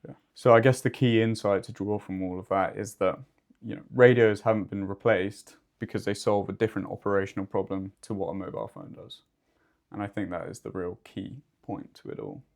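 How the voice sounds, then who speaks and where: Man, lowish pitch, podcast co-host, measured summarising manner, informal discussion. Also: fairly even intonation, gravelly, a little mellow.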